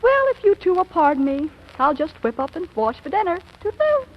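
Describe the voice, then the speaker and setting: A woman acting an excitable 15-year-old girl putting on grown-up airs, affected and giggly, with an American accent, in a 1940s radio comedy. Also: very high-pitched, fairly quick. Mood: affected, haughty. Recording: muffled, noisy old radio recording.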